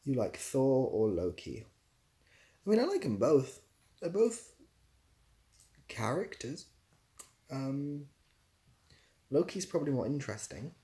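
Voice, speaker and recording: A man's voice: mid-range voice, big pitch swings, takes it slowly, long pauses, warm tone. A man chatting casually on a livestream, reading out questions and answering them in a rambling, self-deprecating way. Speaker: live streamer answering chat questions.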